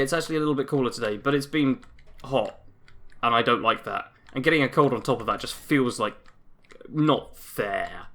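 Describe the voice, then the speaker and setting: A man's voice: mid-range voice, pushed and bright. A man giving casual, chatty commentary as he plays a game, saying he is getting a cold. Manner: chatty, self-narrating. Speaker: gaming YouTuber. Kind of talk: gameplay commentary.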